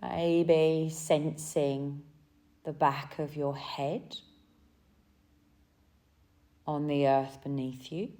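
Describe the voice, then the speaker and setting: A woman leading a guided relaxation as a yoga nidra teacher, speaking gently and soothingly in short phrases with pauses between them. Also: deep voice, flat delivery.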